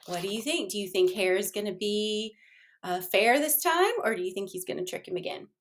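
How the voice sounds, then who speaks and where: A woman's voice: medium pitch, fairly quick. A woman reading a children's storybook aloud expressively, addressing her young listeners as she goes.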